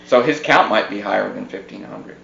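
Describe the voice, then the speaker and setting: Low-voiced man chatting on a muffled podcast. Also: fairly quick, creaky, fairly bright tone. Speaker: podcast co-host. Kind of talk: casual podcast chat. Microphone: podcast microphone on a tripod. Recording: muffled roomy podcast mic, background hum.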